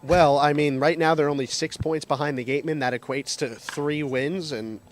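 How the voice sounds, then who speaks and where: A man's voice: on the high side, fairly even intonation, moderate pace, slight background noise. A man giving conversational analysis as a co-host on a sports radio pregame show.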